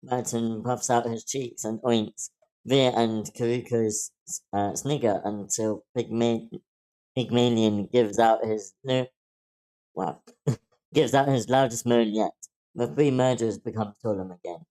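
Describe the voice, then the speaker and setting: A man's voice: mid-range voice, fairly even intonation, a little slow, dark tone, poor audio. A man reading stage directions aloud as narrator at an amateur script table read.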